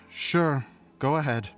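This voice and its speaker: A deflated young man who talks slowly and hesitantly. He is blunt and reluctant in anime game style, with music clearly audible behind him. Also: on the high side.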